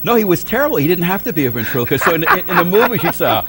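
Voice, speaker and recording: A man's voice: high-pitched, wildly swooping pitch, rapid-fire, gravelly, very noisy. A man hosting a casual interview in an emphatic, easily amazed way. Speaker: interviewer, host. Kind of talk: casual, jokey interview chat.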